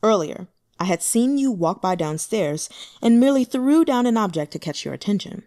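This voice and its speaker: A woman's voice: medium pitch, moderate pace, lightly acted. A woman voicing a powerful male demon lord who speaks evenly and indifferently. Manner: even, indifferent.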